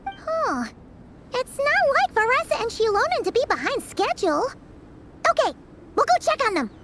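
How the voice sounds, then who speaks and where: A woman voicing a tiny, childlike, excitable fairy companion in an anime game, with a squeaky, bubbly delivery. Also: moderate pace, very noisy, full character voice. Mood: puzzled. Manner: bubbly, exclamatory. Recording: loud music behind.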